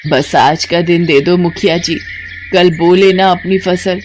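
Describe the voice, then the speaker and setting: A woman voicing a poor, worried elderly village mother-in-law who talks in a weary, plaintive, blunt way in an animated story. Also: lowish voice, measured pace, lightly acted. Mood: pleading. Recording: noticeable background noise.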